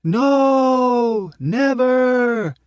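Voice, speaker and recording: A man's voice: expressive, drawn-out words, clear, resonant tone, no echo, heavily acted. A man voicing a fervent rebel in a crowd, crying out short exclamations in an audiobook. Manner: high, shouted exclamations. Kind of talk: audiobook character speech.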